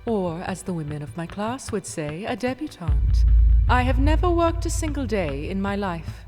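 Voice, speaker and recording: A woman playing a poised, coolly determined young aristocratic heiress in a radio drama, speaking in a measured, dramatic way. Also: mid-range voice, very animated, over music, natural voice. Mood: solemn, resolved.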